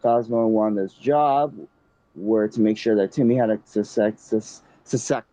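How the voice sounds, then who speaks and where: A man's voice: lowish voice, moderate pace, dark tone, dry recording, poor audio. A young man hosting, reading a story aloud casually, often stumbling and restarting.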